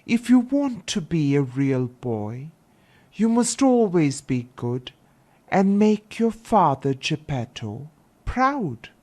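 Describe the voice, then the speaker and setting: A woman voicing a kindly storybook fairy who gently instructs a child. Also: deep voice, sing-song, takes it slowly, heavily acted. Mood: gently admonishing.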